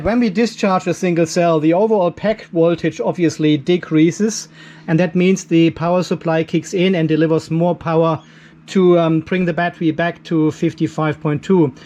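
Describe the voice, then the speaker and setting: A man's voice: sits high, a little mellow. A middle-aged man giving a casual hands-on demonstration, chatty and informal, thinking aloud as he works. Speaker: host of a DIY off-grid battery channel. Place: garage workshop.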